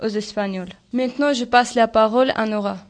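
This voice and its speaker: A young woman from Armenia with a fairly high voice. She gives a prepared, personal statement as a youth radio participant, speaking a language that is not her native one. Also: steady tone, a little fast. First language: not French.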